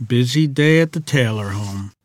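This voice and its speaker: A man's voice: mid-range voice, lively intonation, normal speed, no room sound, natural voice. A man acting a courteous, neighborly small-town man of the 1920s in a screenplay table read, folksy and polite.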